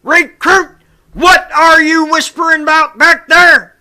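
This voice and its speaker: Someone putting on a barking, bright-voiced drill sergeant. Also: very high-pitched, fairly even intonation, slow, pitched above the performer's own voice, exaggerated voice. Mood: furious. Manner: stern, commanding, insulting. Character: barking, foul-mouthed tank instructor sergeant. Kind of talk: military drill shouting and lecturing.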